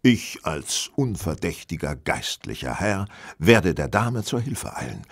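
Ein Schauspieler spielt in einem Hörspiel einen selbstsicheren, berechnenden Detektiv, der bestimmt und belehrend spricht. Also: male voice, low voice, very animated, vocal fry, husky, full character voice. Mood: self-assured. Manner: self-assured, deliberate, instructive. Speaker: lead actor in an audio drama. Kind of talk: German audio drama dialogue.

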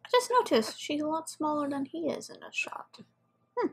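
A woman's voice: high-pitched, big pitch swings, normal speed. A woman giving casual reaction commentary as a video host, in an offhand, rambling way.

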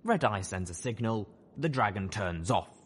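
A man's voice: on the low side, animated, moderate pace, heavy background noise, natural voice. A man voicing a glib, cheerful cyborg pony scientist in an audiobook, breezy and matter-of-fact. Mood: amused, brisk. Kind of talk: audiobook character voice.

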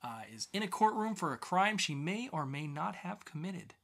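A man's voice: high voice, very animated, moderate pace, creaky, fairly bright tone. A man in his thirties presenting a show as host and narrator, upbeat, from a small indoor set.

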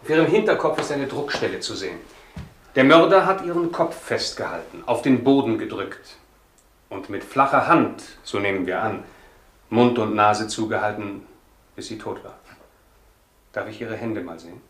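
An actor playing a calm, probing police detective in a German crime radio drama, matter-of-fact and persistent. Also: male voice, lowish voice, moderate pace, natural voice. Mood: grim, factual. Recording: roomy, echoing interior sound.

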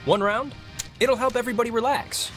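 A man's voice: very high-pitched, steady tone, brisk, a bit bright, faint music behind. A young man with an American accent playing an eager, chatty, awkward teenage boy in a naturalistic video game performance. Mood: coaxing. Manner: rambling, upbeat, insistent.

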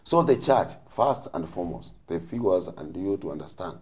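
Male bishop, fifties, low voice with lively swings, emphatic teaching delivery. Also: moderate pace. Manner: emphatic, teaching in short phrases. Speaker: bishop, panel guest. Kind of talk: preacherly explanation in a panel discussion. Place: TV studio. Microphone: lapel mic.

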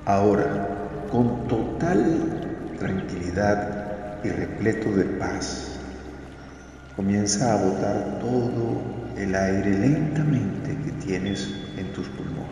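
Slow, deep-voiced man in cavernous reverb. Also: expressive, background noise. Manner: soothing, deliberate, repeats phrases gently. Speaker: meditation guide, video host. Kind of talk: slow guided meditation narration. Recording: cavernous long reverb over music. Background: music.

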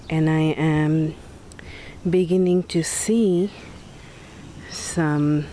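A woman giving a relaxed, casual tour of her backyard vegetable garden, with soft fillers along the way. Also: sits low, slight background noise.

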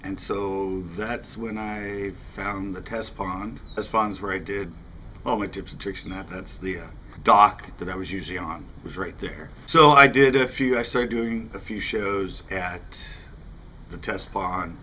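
An American man in his fifties with a lowish voice talks to camera in a loose, conversational way. He is recorded outdoors, with noticeable ambient noise behind him. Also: fairly even intonation, measured pace, pushed and bright. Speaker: fishing channel host. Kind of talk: casual talk to camera. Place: outdoors in front of a house window.

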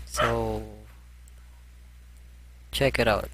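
Slow, hesitant man giving a tutorial. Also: mid-range voice, steady tone, heavy background noise. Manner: halting, hesitant commentary as he clicks through settings. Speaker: music production tutor. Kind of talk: screen-recorded software tutorial.

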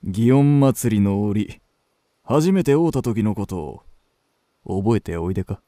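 An actor playing an intense old-time kabuki star confessing forbidden love in a period radio drama, with archaic, theatrical phrasing. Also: male voice, fairly low pitch, lively intonation, warm tone, no room sound. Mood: ardent confession. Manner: archaic, theatrical, drawn-out delivery.

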